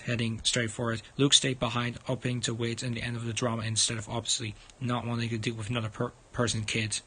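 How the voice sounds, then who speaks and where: A man reading a visual novel aloud on a gaming livestream, stumbling over words as he goes. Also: mid-range voice. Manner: halting reading with frequent stumbles. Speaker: livestream host. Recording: loud music under the voice.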